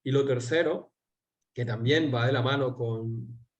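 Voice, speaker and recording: A Venezuelan man hosting a political interview, talking in a measured way and pausing to search for words. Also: medium pitch. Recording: dull lo-fi sound.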